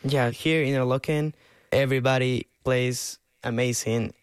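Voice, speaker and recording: A man's voice: mid-range voice, fairly even intonation, moderate pace, clean tone, no echo, clean studio sound. An eighteen-year-old man from Peru with a Peruvian Spanish accent, giving earnest, casual interview answers in simple second-language English. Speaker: interview guest, clarinet student.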